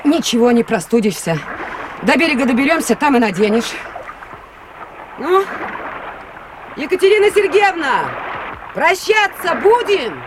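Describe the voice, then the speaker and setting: An actress playing a brisk, bossy woman getting into a boat during a flood, in a Soviet radio play. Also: high-pitched, animated. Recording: distant, noisy radio play with boat and water sounds.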